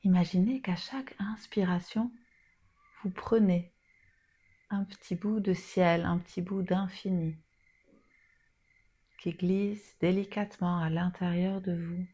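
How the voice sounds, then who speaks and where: A woman leading a guided yoga nidra meditation in a soothing, soft manner with long pauses. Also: on the low side, fairly even intonation, slightly rough.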